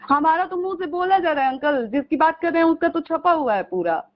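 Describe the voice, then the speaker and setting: Young woman, very high voice, insistent and pleading, repetitive, heated phone conversation, dull lo-fi recording. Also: expressive, fairly quick. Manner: insistent, pleading, repeats herself. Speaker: caller. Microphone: phone call.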